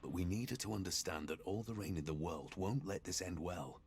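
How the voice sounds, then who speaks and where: An actor playing a weary, gruff and loyal monster hunter in a video game. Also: male voice, sits low, fairly quick. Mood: weary, concerned. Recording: loud music underneath. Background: game music.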